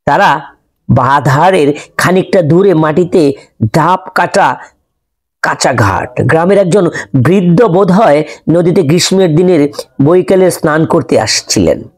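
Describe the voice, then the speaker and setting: A man's voice: a little high, expressive, unhurried, long pauses. A man reading a classic ghost story aloud as scripted audiobook narration.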